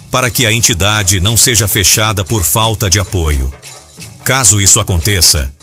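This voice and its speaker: A man's voice: lowish voice, bright, forceful tone. A man who presides over an association, making an earnest and formal appeal to its members. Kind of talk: recorded appeal.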